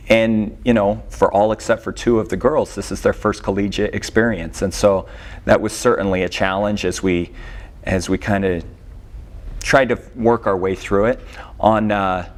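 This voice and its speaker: A man speaking as a college coach in a casual sports interview, explaining in a hesitant, measured way. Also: lowish voice. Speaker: college swimming head coach.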